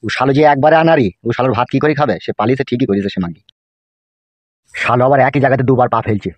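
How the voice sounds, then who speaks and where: A man as a bossy, rapid-fire cartoon village strongman. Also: mid-range voice, no room sound, highs cut off, lightly acted. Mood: irritated. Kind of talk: Bengali comedy cartoon dialogue. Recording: music behind the voice.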